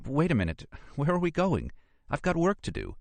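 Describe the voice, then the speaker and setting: A man's voice: fairly high pitch, wildly swooping pitch, normal speed, creaky, no room sound, pitched above the performer's own voice, exaggerated voice. A man voicing an indignant, no-nonsense woman archaeologist in an audiobook, emphatic and easily irritated. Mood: alarmed, protesting. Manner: protesting, emphatic. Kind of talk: audiobook character dialogue.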